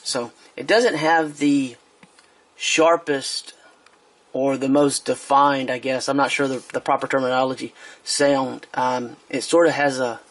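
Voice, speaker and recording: A man's voice: medium pitch, fairly even intonation, a little slow. A man giving a casual product review while he handles a speaker on a tabletop, hesitant, with many fillers and restarts.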